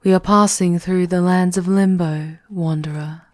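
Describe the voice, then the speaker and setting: Female actor as world-weary demon queen guide, ASMR roleplay. Dark, velvety low tone, unhurried formal delivery, breathy near-whispers. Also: fairly even intonation, no room sound, studio quality, close to an everyday voice. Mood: calm, guarded. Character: regal, world-weary demon queen, once human, guiding a wanderer. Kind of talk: slow, intimate ASMR roleplay monologue.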